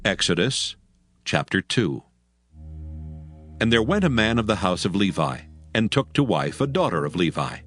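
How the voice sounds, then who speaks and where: A man narrating scripture in a measured, solemn reading. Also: on the low side, big pitch swings, a bit bright, soft background music.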